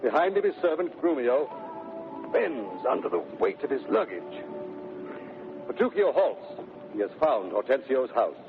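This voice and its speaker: A man's voice: mid-range voice, big pitch swings, measured pace, vocal fry, long pauses, music in the background. A man narrating a scripted radio drama in a theatrical 1930s storytelling style, on a tinny vintage recording.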